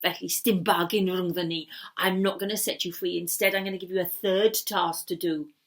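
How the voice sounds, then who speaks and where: A woman's voice: fairly low pitch, steady tone, pitched below the performer's own voice, close to an everyday voice. Woman voicing a stern, menacing storybook wizard. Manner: stern, emphatic, drawn-out commands. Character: angry, scheming male wizard, commanding and menacing.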